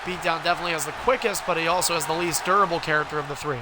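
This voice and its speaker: Hoarse high-voiced male stream commentator. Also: fairly even intonation, racing, noisy background. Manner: wrestling-announcer calls, rising and punchy. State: hoarse from a cold. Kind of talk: livestream announcements and play-by-play commentary.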